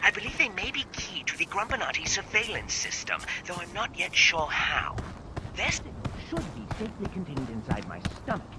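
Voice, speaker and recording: A man's voice: high-pitched, wildly swooping pitch, fairly quick, heavy background noise. A man voicing a paranoid, formal-speaking creature engineer in a video game, with a theatrical, old-fashioned manner. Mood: eccentric. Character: paranoid fussy male creature engineer, secretive.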